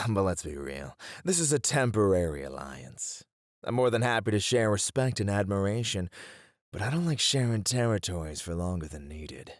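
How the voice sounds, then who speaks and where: Man acting cocky, low-voiced, flirtatious gang leader. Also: expressive, moderate pace, no room sound, clean studio sound, natural voice. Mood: guarded, diplomatic. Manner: playful, theatrical, teasing. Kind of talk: flirty ASMR roleplay acting.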